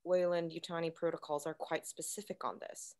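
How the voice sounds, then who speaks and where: Casting: an actress as an exact, formal space-colony officer, measured delivery, lower-than-average voice, script reading. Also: female voice, steady tone, darkish tone, close to an everyday voice. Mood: formal, firm. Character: formal, rule-bound female colony officer, cool and exact. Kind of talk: screenplay table read.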